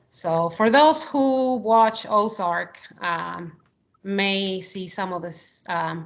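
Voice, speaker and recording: A woman's voice: medium pitch, a bit bright. Chatty Argentinian woman on a video call. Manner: chatty, frequent ums, giggly. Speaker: employee presenting to coworkers. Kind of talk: casual video call presentation.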